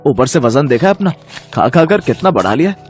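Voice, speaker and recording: A man playing an exasperated, scolding man in an animated moral story, reproachful and mocking in manner. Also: mid-range voice, brisk, faint music behind, natural voice. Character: exasperated, scolding men around a greedy teacher.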